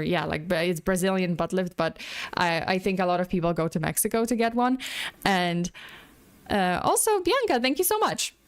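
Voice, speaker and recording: A woman's voice: lowish voice, clear, resonant tone. Chatty woman streamer losing her voice. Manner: chatty, rambling, greets viewers often. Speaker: livestream host. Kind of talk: casual livestream chat.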